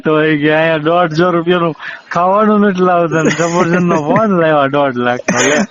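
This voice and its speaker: A man's voice: a little high, a little fast, a bit bright. A man with a Saurashtra Gujarati accent chatting casually on a phone call in an earthy, droll, matter-of-fact way. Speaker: call recipient. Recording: noisy, dull lo-fi phone call recording.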